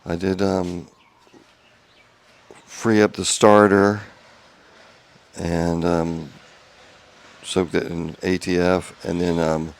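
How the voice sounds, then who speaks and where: Male hobby car restorer, deep gravelly voice, flat monotone delivery, quiet and halting. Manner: quiet monotone talk with many ums and restarts. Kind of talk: casual workshop update video. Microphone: small clip-on wireless mic.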